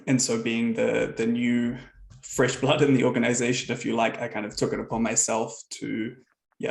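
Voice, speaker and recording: A man with a New Zealand accent giving a relaxed, conversational talk as guest speaker at an online meetup, on a video call. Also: mid-range voice, creaky, reverberant. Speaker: guest speaker, software founder.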